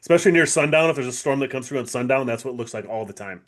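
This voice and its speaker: A man's voice: mid-range voice, lively intonation, rapid-fire. A man with an American accent chatting casually as a podcast co-host.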